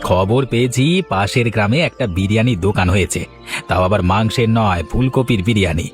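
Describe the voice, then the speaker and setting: A man's voice: on the low side, a little fast, lightly acted. A man playing a sly, smug young swindler and boyfriend in a Bengali cartoon, cocky and wheedling. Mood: smug, scheming. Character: sly, smug young male swindler sweet-talking his girlfriend. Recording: faint music behind the voice.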